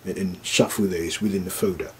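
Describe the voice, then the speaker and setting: A man giving an informal, unscripted step-by-step device demonstration, haltingly thinking aloud as he works through it. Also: deep voice, fairly even intonation, a little hiss or hum. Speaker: product demonstrator.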